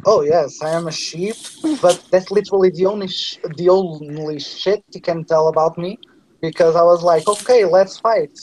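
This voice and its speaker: A man's voice: high voice, normal speed, a little mellow, slight background noise, low-quality recording. A man with a non-native English accent chatting casually in a group, blunt, defensive and given to swearing. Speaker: game contestant.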